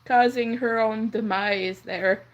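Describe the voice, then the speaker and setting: A young woman whose first language is French, chatting softly and rambling on a crochet livestream. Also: on the high side, steady tone, takes it slowly, dull audio. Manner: soft-spoken, rambling, counts under her breath. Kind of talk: casual chat while crocheting.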